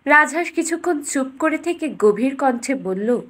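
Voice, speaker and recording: A woman's voice: high voice, animated, moderate pace, fairly bright tone. A woman storyteller reading a scripted fairytale as narration for a bedtime story.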